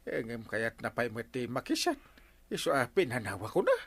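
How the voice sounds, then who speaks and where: A man's voice: mid-range voice, wildly swooping pitch, normal speed, breathy edge, full character voice. A man playing a flustered, blustering village captain in an Ilocano radio comedy, with a stammering, hesitant delivery and an Ilocano accent. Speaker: comedy drama actor. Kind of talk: Philippine radio comedy drama acting.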